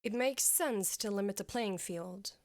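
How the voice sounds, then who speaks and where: A woman's voice: medium pitch, unhurried, no room sound, studio quality, close to an everyday voice. A woman playing a dutiful military commander in a fantasy audio story, speaking formally and directly to her king. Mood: businesslike, questioning. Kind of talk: audio fiction acting.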